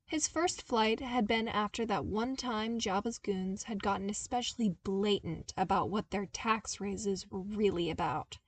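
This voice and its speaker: Slow-paced woman narrating fiction. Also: medium pitch, no room sound. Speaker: audiobook narrator. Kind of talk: expressive scripted fiction narration.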